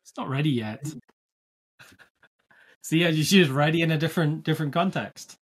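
A man's voice: on the high side, moderate pace, husky. A man from Scotland with a Scottish accent, co-hosting a podcast in casual discussion, thoughtful and often restarting as he searches for the right word. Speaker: podcast co-host.

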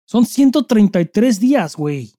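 Slangy Mexican man with a mellow, dry sound. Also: sits high, sing-song, normal speed, no room sound. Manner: slangy, profane, emphatic. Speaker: podcast co-host. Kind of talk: casual chat.

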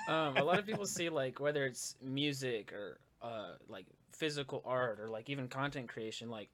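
A man's voice: medium pitch, normal speed, a bit bright. A man hosting a casual interview for his channel, chatty and supportive, with lots of fillers. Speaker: interviewer, YouTube channel host.

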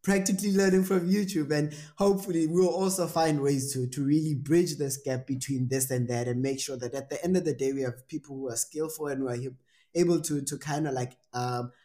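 A young man hosts a casual podcast interview. His voice sits a little high for a man. He talks in a thoughtful, rambling way, full of fillers and restarts. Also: steady tone, fairly quick, mellow. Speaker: podcast host.

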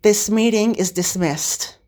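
A woman's voice: mid-range voice, slow pace, warm tone, pitched above the performer's own voice, close to an everyday voice. A woman voicing a proud, grumpy young male king in a story, with an indignant, commanding, snappish manner. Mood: stern.

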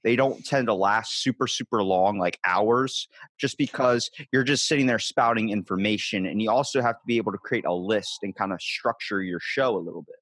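A young man hosting a casual podcast interview, telling crude jokes and stories with animated energy. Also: lowish voice, brisk, no echo. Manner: animated, crude joking storyteller. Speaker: podcast host.

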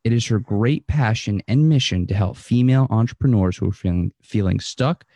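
A man's voice: on the low side, normal speed, mellow, dry recording. A man hosting a podcast, reading a prepared introduction of his guest and asking questions. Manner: formal, reads a prepared intro. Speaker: podcast host. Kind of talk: scripted guest introduction then interview questions.

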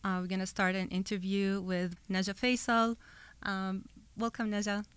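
Woman interviewer, clean smooth voice, friendly questions and quick "oh nice" replies. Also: medium pitch, little pitch movement, no room sound. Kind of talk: casual interview chat.

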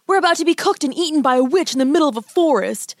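Woman playing an anxious, high-voiced young boy. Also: sing-song, moderate pace, dry recording, studio quality. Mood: panicked. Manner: nervous, urgent, plain-spoken. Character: anxious, earnest young boy from a fairy tale. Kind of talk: children's storybook character voice.

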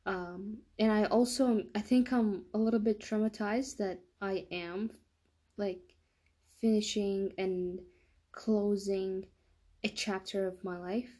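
A woman's voice: medium pitch, fairly even intonation, slow pace, dark tone. A young woman talking casually in a solo podcast monologue, hesitant and often stumbling and rephrasing. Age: young adult. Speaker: podcast host.

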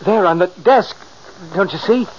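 An actor playing a wounded, doom-haunted man in a 1940s radio drama, with a strained, creaky, halting delivery. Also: high voice. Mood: fearful, agitated. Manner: halting, fraught, melodramatic. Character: wounded, doom-haunted man facing his foretold death. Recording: muffled, noisy old radio recording.

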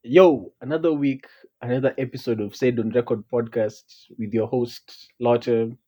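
A man hosting a casual podcast. He speaks in a slightly husky voice, laid-back and full of slang as he banters with his co-host. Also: mid-range voice, fairly even intonation, moderate pace, warm tone. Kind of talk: casual chat.

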